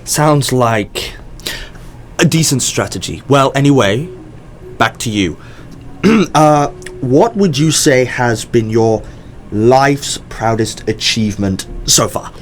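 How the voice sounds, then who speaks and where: An actor playing a mysterious boss in an audio drama, probing and composed, speaking with measured, deliberate pauses. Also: male voice, mid-range voice, animated.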